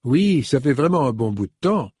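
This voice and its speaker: A man's voice: mid-range voice, sing-song, a little mellow, husky, no echo, clean studio sound. A man voicing a polite, composed middle-aged woman in an audiobook, in a slightly raised voice. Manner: courteous, measured.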